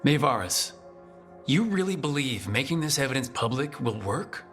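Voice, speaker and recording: An actor playing an earnest, level-headed rebel hero in a video game, speaking in a straightforward manner. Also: male voice, medium pitch, big pitch swings, moderate pace, fairly bright tone, faint music behind. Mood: serious, questioning. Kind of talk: video game dialogue acting.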